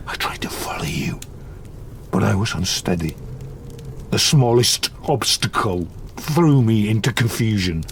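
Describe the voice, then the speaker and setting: Slow, deep, gravelly brooding monster. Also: male voice, lively intonation, slight background noise. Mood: haunted, sorrowful. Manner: slow, deliberate, solemn storytelling. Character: wounded, brooding male monster, eloquent and lonely. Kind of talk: audio drama monologue.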